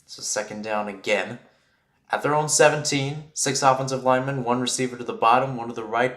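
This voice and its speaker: A man giving live radio-style play-by-play commentary on American football, conversational and quick to correct himself. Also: mid-range voice, sharp tone, roomy sound. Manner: conversational, self-correcting asides.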